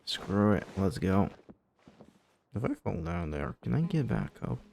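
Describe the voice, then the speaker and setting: Male streamer, casual gameplay commentary, swinging intonation, creaky voice, noisy background. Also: fairly low pitch, big pitch swings, measured pace, darkish tone. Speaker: game streamer.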